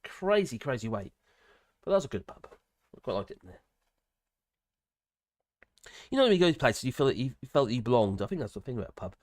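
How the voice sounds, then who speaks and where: An older man with grandchildren, hosting a casual live stream, hoarse from a cold and chatting in a rambling way with many fillers and restarts. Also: medium pitch, very animated, very fast. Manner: rambling, chatty, with frequent fillers and self-corrections.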